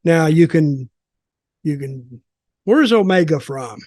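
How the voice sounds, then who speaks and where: Older man with a fairly high voice. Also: expressive, slow, darkish tone, dry recording, clean studio sound. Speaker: wrestling commentator and podcast guest. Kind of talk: casual wrestling discussion.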